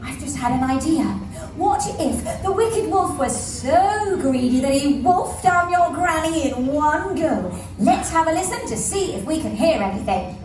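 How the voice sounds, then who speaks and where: A woman's voice: sits high, lively intonation, measured pace, slight background noise. A woman narrating a children's puppet show in an exclamatory manner, urging listeners to join in. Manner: exclamatory, rallies listeners to chant. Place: children's theatre at a theme park. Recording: echoey theatre from far off, loud music.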